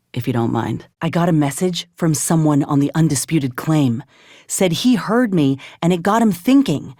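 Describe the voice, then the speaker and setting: A woman's voice: low voice, a little mellow, dry recording, lightly acted. Woman acting as a folksy space frontier ex-soldier gal, earnest n steadfast, plain talker, game dialogue, super dry sound. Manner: folksy, plainspoken, measured delivery. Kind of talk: video game character dialogue.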